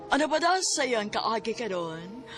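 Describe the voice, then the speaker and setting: A woman giving measured, dramatic narration for a radio drama. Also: fairly high pitch, sing-song. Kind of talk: scripted narration. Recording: narration over loud music.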